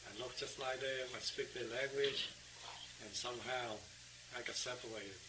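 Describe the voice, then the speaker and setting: A middle-aged man from Vietnam, speaking halting, broken English in an interview. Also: medium pitch, steady tone. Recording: noisy home interview.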